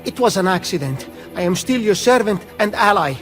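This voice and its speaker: A man's voice: high-pitched, lively intonation, a little fast, fairly bright tone, faint music behind. An actor playing a frightened, servile nobleman in a video game, anxious and pleading. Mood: pleading, afraid. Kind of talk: dramatic video game cutscene acting.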